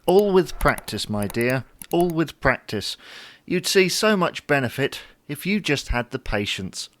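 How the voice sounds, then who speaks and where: A man's voice: a little high, very animated, a little slow, a bit bright, dry recording. A man playing a dry, reasonable but exasperated husband in an audio drama. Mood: patient.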